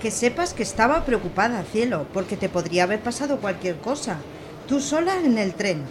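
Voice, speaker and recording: A middle-aged mother, fussy and overprotective, played by a woman in a radio play. Her pitch is average for a woman. Ambient noise is clearly audible behind her. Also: very animated, brisk, close to an everyday voice. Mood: worried, scolding. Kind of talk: amateur radio drama acting.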